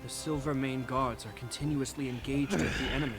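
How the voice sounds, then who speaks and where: An actor playing a dutiful young guard captain who speaks formally and solemnly in a game cutscene. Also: male voice, medium pitch, steady tone, a little fast, lightly acted. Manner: formal, solemn narration of history. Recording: loud game music behind. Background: game music.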